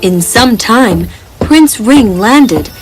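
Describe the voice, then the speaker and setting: A woman's voice: on the high side, animated, drawn-out words, poor audio. A woman reading a scripted bedtime story as a storyteller. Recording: music behind the voice. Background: background music.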